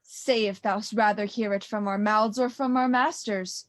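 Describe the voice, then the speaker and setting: A young woman playing an ominous witch in a Shakespearean radio play, speaking in a deliberate, chanting manner. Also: medium pitch, fairly even intonation, close to an everyday voice. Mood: sinister chanting. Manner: incantatory, deliberate. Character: eerie, ominous witch chanting over cauldron. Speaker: student performer.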